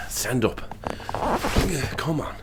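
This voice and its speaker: A man playing a weary, sardonic neighbour who grumbles uneasily, in a naturalistic audio horror drama. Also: background noise. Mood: uneasy, urgent.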